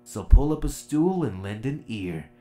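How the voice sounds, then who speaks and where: A man's voice: mid-range voice, sing-song, measured pace, mellow. A man voicing a cocky, sarcastic demon hunter and storyteller in an abridged anime parody. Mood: cocky, inviting. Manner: brash, sarcastic, swaggering. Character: cocky, irritable demon hunter, half demon, laid-back storyteller.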